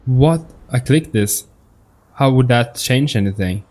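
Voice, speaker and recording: A man's voice: medium pitch, lively intonation, measured pace, darkish tone, a little hiss or hum. A man giving casual, off-the-cuff commentary as he plays a game, thinking aloud and repeating himself. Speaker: gamer commentating on a game playthrough. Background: game music.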